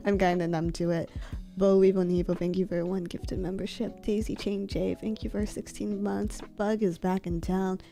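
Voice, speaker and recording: A woman streamer who is sick and congested, talking softly and close to the mic while reading out donations in a casual chat. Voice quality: low husky sick voice. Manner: soft-spoken, mic held close. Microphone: close desk mic.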